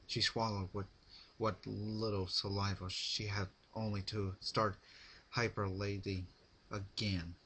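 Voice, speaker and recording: Casting: male storyteller, unhurried halting delivery, bright forceful tone with a rasp, dramatic reading. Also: fairly low pitch, little pitch movement, a little hiss or hum. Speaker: narrator. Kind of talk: dramatic fan fiction reading.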